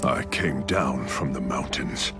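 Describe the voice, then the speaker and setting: An actor playing a grim, battle-hardened Viking, narrating a video game story in a deep, gravelly voice. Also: male voice, takes it slowly, heavily acted. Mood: grim, resolute. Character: grim, battle-hardened male Viking raider recounting his war. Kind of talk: dramatic scripted game narration. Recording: loud music behind.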